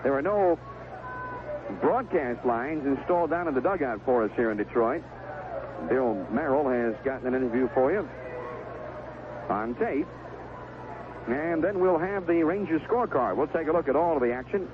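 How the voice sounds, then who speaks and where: A man giving live 1970s radio play-by-play of a baseball game, describing each pitch in short, clipped phrases. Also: lively intonation, moderate pace, pauses often. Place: outdoor ballpark broadcast booth. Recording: noisy, tinny narrowband vintage radio broadcast.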